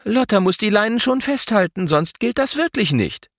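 A man takes on a mother in a storybook, firm yet encouraging and instructive. The voice is lifted high, with lively swings. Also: normal speed, creaky, breathy edge, no echo, clean studio sound, heavily acted. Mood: conceding. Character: encouraging, firm mother explaining game rules.

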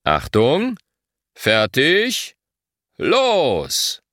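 A man reads a father refereeing a children's game in a storybook. He announces and calls out with very animated intonation and a raised pitch. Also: normal speed, dry recording, clean studio sound, full character voice. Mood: calling out. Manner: clear announcing, calling out.

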